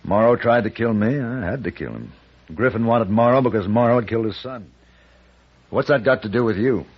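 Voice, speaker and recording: An American actor playing a suave, self-assured hired gunfighter in an old-time radio Western, dry, urbane and terse. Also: male voice, lowish voice, fairly quick, no room sound, lightly acted. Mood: cool, direct. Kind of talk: old-time radio drama acting and first-person narration. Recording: dull, band-limited old radio recording.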